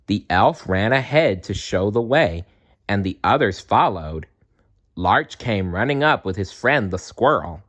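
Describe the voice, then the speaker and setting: A man reads a children's storybook aloud at a very slow, unhurried pace. His slightly low voice moves up and down in an animated way. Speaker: storybook reader.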